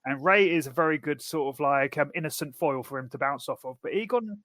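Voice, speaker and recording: Middle-aged man, podcast co-host, brisk and fairly high voice, thoughtful casual chat, dry close sound. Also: a bit bright, no echo. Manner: quick, thoughtful, reflective.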